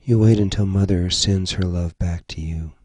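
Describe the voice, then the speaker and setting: A man leading a guided meditation, giving gentle, soothing instructions in short phrases. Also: low voice, steady tone, normal speed, breathy edge, no room sound, studio quality. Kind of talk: slow guided meditation narration.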